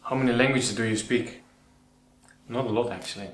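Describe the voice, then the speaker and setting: A man's voice: mid-range voice, normal speed. A man from the Netherlands with a Dutch accent, talking casually and a little hesitantly as he answers questions as a channel host. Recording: roomy echo with background noise.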